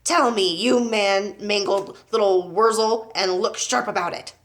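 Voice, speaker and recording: A woman's voice: medium pitch, fairly even intonation, a little slow, close to an everyday voice. A woman voicing a furious, bullying headmistress in a children's storybook, barking out insults. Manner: shouting, barking insults at pupils. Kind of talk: children's storybook read aloud.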